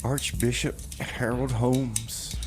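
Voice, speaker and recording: A man's voice: mid-range voice, little pitch movement, slow, heavy background noise. A man hosting a community radio music show with casual, offhand banter, often repeating himself.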